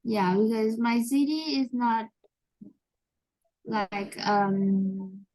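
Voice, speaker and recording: Slow, halting woman with Vietnamese accent. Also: on the high side, darkish tone, poor audio. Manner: halting, searching for words, frequent restarts. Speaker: English learner. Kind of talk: online English lesson conversation.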